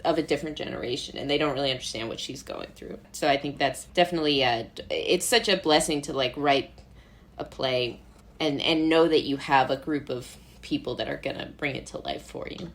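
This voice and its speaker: A woman's voice: very deep voice, fairly quick, slight background noise. A young woman from Brooklyn chatting casually as an interview guest, goofy and talkative, dropping "like" often. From Brooklyn, New York. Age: young adult. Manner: self-described goofy, chatty with frequent "like". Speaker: interview guest, playwright and actor.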